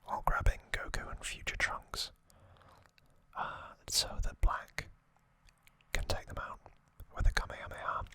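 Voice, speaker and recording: Slowly whispering man, ASMR style. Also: sharp tone. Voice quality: breathy unvoiced whisper. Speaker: ASMR video host. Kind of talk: whispered ASMR recap, casual and informal.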